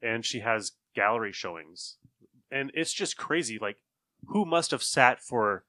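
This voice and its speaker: A man's voice: medium pitch, pushed and bright, dry recording. A man hosting a podcast, talking in a casual discussion with friends. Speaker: podcast host.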